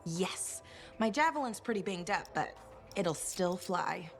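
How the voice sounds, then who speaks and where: A woman's voice: on the low side, animated, a little slow, faint music behind. A woman playing an impulsive, determined young adventurer in a video game, earnest and spirited. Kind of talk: video game cutscene acting.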